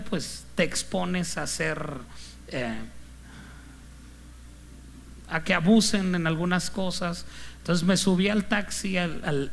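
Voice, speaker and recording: A man's voice: on the high side, gravelly, a little hiss or hum. A man with a northern Mexican accent preaching as a pastor in a conversational, anecdotal style with punchy, clipped delivery.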